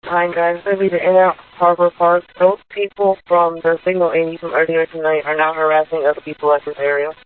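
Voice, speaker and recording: A woman's voice: lowish voice, flat delivery, brisk, hardly any pauses, a little hiss or hum. A woman working as an emergency dispatcher, relaying call details in a procedural, matter-of-fact way over a radio transmission. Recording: thin phone-like police radio audio.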